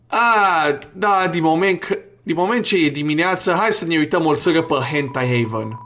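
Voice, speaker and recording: A man's voice: a little high, expressive, fairly bright tone. A man giving casual, crude and joking gameplay commentary, reading game text aloud as he goes. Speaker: gaming video host. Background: video game music.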